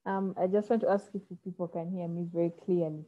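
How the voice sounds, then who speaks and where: A woman's voice: on the low side, fairly even intonation, quick pace. A woman from Uganda with a Ugandan accent, commenting and asking a question as a participant in an online call. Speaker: audience participant. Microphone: video call. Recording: dull lo-fi video call audio.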